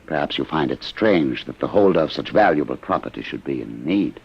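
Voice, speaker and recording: An actor playing a weary, haunted older refugee inventor, courteous and grave, with a husky, gravelly voice, in old radio drama. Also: male voice, extremely low pitch, animated, fairly quick, heavily acted. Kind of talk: 1950s radio drama acting. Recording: dull vintage radio recording.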